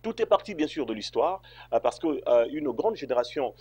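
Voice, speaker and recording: Husky man with many fillers. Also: mid-range voice, big pitch swings, moderate pace, dark tone, no room sound. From Congo. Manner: hesitant, many fillers and restarts. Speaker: interview guest. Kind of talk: remote interview answers.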